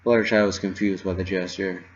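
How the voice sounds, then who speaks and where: Male story reader, lowish pitch, dramatic first-person narration, slightly muffled lo-fi sound. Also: brisk. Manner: expressive, dramatic delivery. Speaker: reader of fan fiction.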